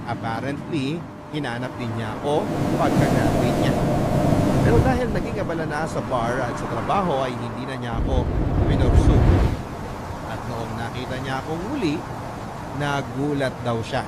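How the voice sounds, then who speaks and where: A man's voice: mid-range voice, lively intonation, normal speed, pauses often, heavy background noise. A man with a Filipino accent reading a letter as dramatic first-person narration, as the host of a story program. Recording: loud music bed behind the voice. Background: music.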